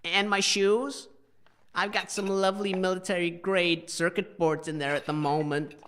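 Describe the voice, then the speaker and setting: A man playing an eccentric, friendly junk-collecting wanderer in a comic audio drama, affable and quietly proud as he rambles. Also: high voice, little pitch movement, moderate pace, clean tone.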